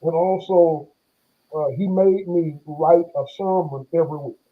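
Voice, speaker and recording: This is a pastor in his forties speaking as a guest in an interview. His voice sits fairly high and comes through a thin, tinny phone line. He talks in a hesitant, reflective way, often restarting and sometimes stammering. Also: steady tone, measured pace. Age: 40s. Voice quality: occasional stammer. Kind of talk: conversational interview.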